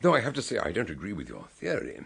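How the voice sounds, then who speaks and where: An actor playing an eccentric, jovial British detective doctor in a radio drama, with a theatrical, blustering, wry manner. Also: male voice, fairly low pitch, wildly swooping pitch, fast talker, vocal fry, a bit bright, slightly rough, full character voice. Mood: wry, amused. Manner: theatrical, hesitant bumbling mixed with wry pronouncements. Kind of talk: BBC radio drama acting, dialogue and narration.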